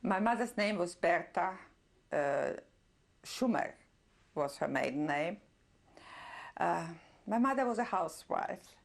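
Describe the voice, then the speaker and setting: An older woman whose first language is German, giving a reflective oral history testimony with many pauses and soft laughs. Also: on the low side, lively intonation, vocal fry. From Czernowitz, Bukovina. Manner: reflective, pausing often with fillers, soft laughs. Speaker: interviewee, Holocaust survivor.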